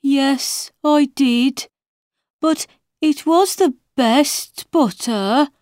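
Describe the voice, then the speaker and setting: A woman voicing a mad male hare in a children's storybook, contrary and excitable. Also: very high-pitched, takes it slowly, no echo, clean studio sound, pitched above the performer's own voice. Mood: sad. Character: March Hare, mad male hare, rude then mournful.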